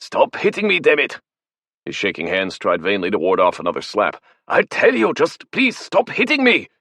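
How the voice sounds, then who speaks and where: A man voicing a frightened male academic, pleading in a shrill, near-screaming voice. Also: sing-song, moderate pace, a bit bright, breathy edge, no room sound, heavily acted. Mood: panicked.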